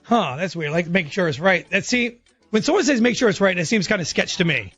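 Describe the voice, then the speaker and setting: A man's voice: high-pitched, sing-song, brisk, fairly bright tone, soft background music. A man hosting a radio show, talking in a casual, chatty style. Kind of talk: casual radio banter and prank calling. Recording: slightly muffled lo-fi audio.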